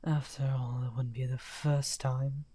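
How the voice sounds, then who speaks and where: A man playing a misunderstood, weary villain wizard in an ASMR fantasy roleplay, amused and softly menacing, talking teasingly and half in whispers. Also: mid-range voice, little pitch movement, unhurried, breathy edge. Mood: quiet menace.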